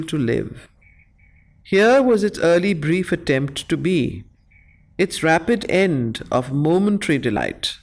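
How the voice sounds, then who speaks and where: A woman with an Indian accent reciting verse and explaining it as a study circle facilitator, in a deliberate, emphatic, explanatory way. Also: low-pitched. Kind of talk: recitation of verse with teaching commentary.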